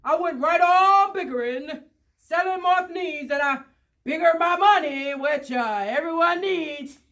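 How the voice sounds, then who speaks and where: A woman playing a greedy, unrepentant storybook factory builder, telling his tale in a boastful, halting way. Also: very high-pitched, fairly bright tone, heavily acted.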